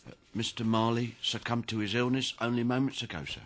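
An actor playing a timid, deferential clerk in a radio drama, stammering, hesitant and apologetic. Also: male voice, mid-range voice, little pitch movement, brisk, a bit bright, slight background noise, close to an everyday voice. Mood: nervous, apologetic. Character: timid, deferential male clerk, nervous before his master.

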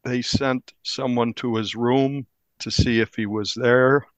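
A man, a newspaper reporter, recounting a crime case in an interview with measured, deliberate storytelling. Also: medium pitch, steady tone, dry recording.

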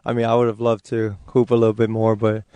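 A man's voice: lowish voice, fast talker, smooth, no echo. A man in his twenties from Hawaii, whose first language was Hawaiian, talking as a guest in a casual, easygoing interview. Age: 20s. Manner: relaxed, easygoing, many fillers. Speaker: football player guest.

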